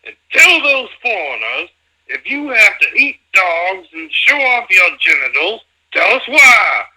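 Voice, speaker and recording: A man's voice: high voice, very animated, unhurried, sharp tone, full character voice, low-quality recording. A man in an amateur fan dub playing an older, blustering race promoter with an emphatic delivery. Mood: exasperated, commanding. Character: older male race promoter, blustering boss who frets in private.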